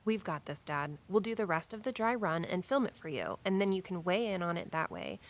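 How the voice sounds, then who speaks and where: Earnest tour guide, creaky voice. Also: female voice, fairly low pitch, sing-song, a little fast. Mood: concerned. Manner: conversational, caring, earnest. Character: earnest, mystically minded daughter and walking-tour guide. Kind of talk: audio drama acting.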